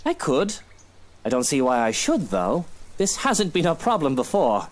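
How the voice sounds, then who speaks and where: Lilting, smug rich husband. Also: male voice, fairly high pitch, huge pitch swings, a little slow. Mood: smooth, dismissive. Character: suave, dismissive wealthy young businessman husband. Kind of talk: visual novel game voice acting.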